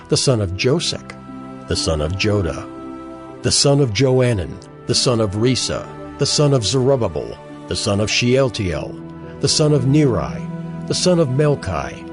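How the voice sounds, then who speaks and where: Male scripture narrator, lowish register, measured recitation, animated pitch movement, over a music bed. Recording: music clearly behind the voice.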